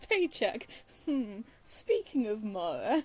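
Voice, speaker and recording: A woman commentating on a game in a fairly high, mellow voice. She talks slowly and casually, hesitant and rambling with a giggly manner. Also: very animated, faint music behind. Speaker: video game playthrough commentator. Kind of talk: casual reaction commentary while gaming.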